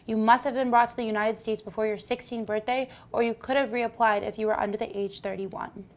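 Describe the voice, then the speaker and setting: A woman's voice: on the high side, fairly even intonation, brisk. Woman reading a news script. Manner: reads facts and figures from a script. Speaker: student news presenter.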